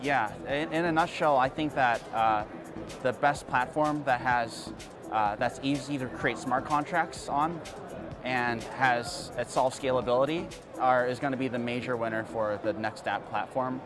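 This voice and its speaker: A man's voice: mid-range voice, a little slow, music in the background. Hesitant male investor guest. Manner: hesitant, frequent fillers, boastful. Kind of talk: casual interview answers.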